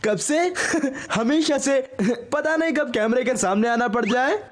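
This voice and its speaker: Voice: sits high, unhurried. An actor voicing a cocky, reckless young daredevil boy in a cartoon dub, brash and dramatic. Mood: bluffing. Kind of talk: Hindi cartoon dub acting. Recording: music under the dialogue.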